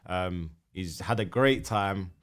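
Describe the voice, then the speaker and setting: A man's voice: low-pitched, slow pace. A young man, a podcast co-host recounting a football match with energy. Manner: energetic, builds a story step by step. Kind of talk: animated storytelling.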